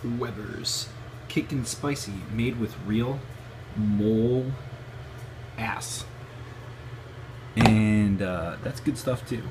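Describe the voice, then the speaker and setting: A man's voice: on the low side, drawn-out words, pauses often. A man hosting an informal, jokey amateur cooking vlog, narrating casually from a home kitchen. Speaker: amateur cooking show host. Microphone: handheld phone or camera. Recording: noisy handheld camera recording.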